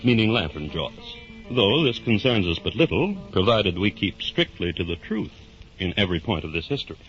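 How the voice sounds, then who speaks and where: A man narrating a story in a measured, theatrical 1950s radio drama style. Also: fairly low pitch, big pitch swings, a bit bright, faint music behind. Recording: dull vintage radio recording.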